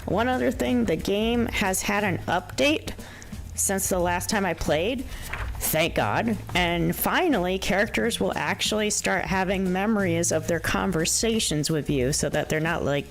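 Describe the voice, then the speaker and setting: Hoarse, gravelly-voiced woman streamer. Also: medium pitch, unhurried, a little hiss or hum. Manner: chatty, talks to viewers, frequent ums and laughs. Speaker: game streamer. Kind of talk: casual livestream commentary. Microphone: desk microphone.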